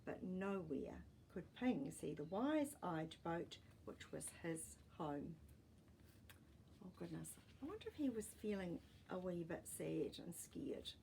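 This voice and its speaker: A woman reading a children's storybook aloud as a storytime host, with deliberate, expressive phrasing for young listeners and small asides. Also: fairly low pitch, vocal fry, a little hiss or hum, rough recording.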